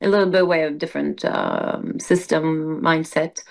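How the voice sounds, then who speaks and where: A Swedish woman, a musical theater performer, speaking English as a second language in a relaxed interview, thoughtful and full of fillers. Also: low voice, normal speed, gravelly. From Sweden. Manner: reflective, hesitant with many fillers. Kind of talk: casual interview conversation.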